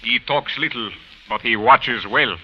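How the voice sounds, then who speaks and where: A man's voice: mid-range voice, unhurried, breathy edge. An actor playing a big, stolid, menacing Egyptian watchman, terse and formal, in 1950s radio drama style. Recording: muffled vintage radio recording.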